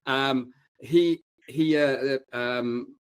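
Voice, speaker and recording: A man's voice: medium pitch, steady tone, drawn-out words, clear, resonant tone, dry recording. A man talking casually as an interview guest, telling rambling, wry anecdotes and often breaking off mid-sentence. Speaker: podcast guest, paramedic and fiction writer.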